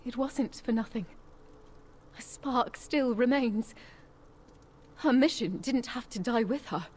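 Solemn, grieving elf forger. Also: female voice, a little high, slow, darkish tone, slight background noise. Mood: hopeful. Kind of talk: fantasy video game dialogue.

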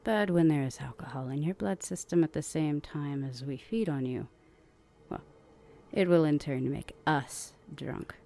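A woman's voice: deep voice, unhurried, warm tone. A woman playing a stern, haughty, protective older vampire sister in ASMR roleplay, with a formal, imperious manner. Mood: haughty, composed. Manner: formal, imperious, easily flustered.

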